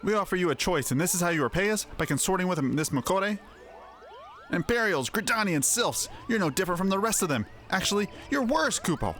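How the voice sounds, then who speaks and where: A man's voice: high voice, big pitch swings, fast talker, fairly bright tone, faint music behind. A man voicing an indignant, zealous moogle royal guard in a fantasy game, declaiming accusations pompously. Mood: outraged, zealous. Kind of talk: scripted fantasy video game dialogue.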